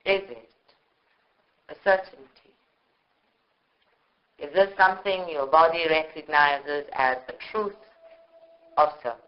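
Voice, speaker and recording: A woman leading a guided meditation in short, measured phrases with long pauses, on a live recording. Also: deep voice, dull audio. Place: live group meditation session.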